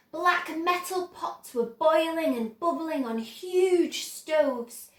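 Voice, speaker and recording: A woman reading a children's chapter book aloud slowly and expressively as storyteller. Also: sits high, echoey room.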